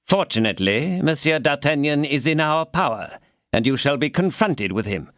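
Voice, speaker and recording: A man's voice: fairly high pitch, big pitch swings, fairly bright tone, no room sound, studio quality, pitched above the performer's own voice. A man voicing a stern, officious police commissary interrogating a prisoner. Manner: interrogating, officious, slow and emphatic.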